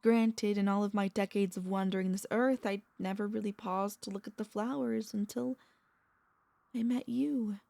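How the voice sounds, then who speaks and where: A woman playing a tender, anxious, possessive vampire mistress in an intimate ASMR audio roleplay, speaking in soft, formal, pleading phrases with dramatic pauses. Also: medium pitch, steady tone, vocal fry, no room sound, lightly acted. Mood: wistful, confessional.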